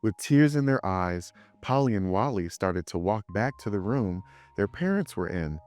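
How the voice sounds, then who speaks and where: A man reading a children's story aloud in an expressive way, acting out the dialogue. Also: on the low side, normal speed. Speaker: story reader. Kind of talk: scripted children's story narration.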